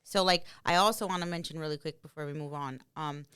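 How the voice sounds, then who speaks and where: A woman's voice: low-pitched, quick pace, fairly bright tone, clean tone, no room sound, clean studio sound. A woman from Brazil co-hosting a podcast, speaking emphatically with many "like" fillers. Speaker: podcast co-host. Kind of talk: casual chat.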